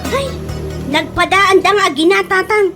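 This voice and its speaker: A woman's voice: very high-pitched, measured pace, over music. A woman with an Ilocano Filipino accent voicing a defiant, spirited young daughter in a comedy radio drama. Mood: indignant. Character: feisty, defiant young daughter studying caregiving.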